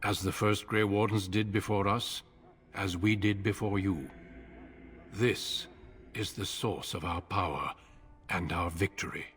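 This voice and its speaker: An actor voicing a grave older male warden commander in a video game, speaking in a solemn, measured way. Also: sits low, steady tone, a bit bright.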